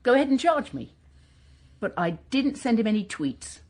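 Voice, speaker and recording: A woman's voice: a little high, big pitch swings, a little mellow. An actress playing a bitter, defiant woman wronged years ago, in a naturalistic radio drama. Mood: indignant. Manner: impassioned, halting when hurt.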